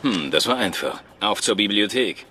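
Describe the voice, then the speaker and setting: An actor playing a wry, hard-drinking saboteur hero in a video game, with a dry manner. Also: male voice, on the low side, expressive, moderate pace, noisy background, lightly acted. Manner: dry, sardonic.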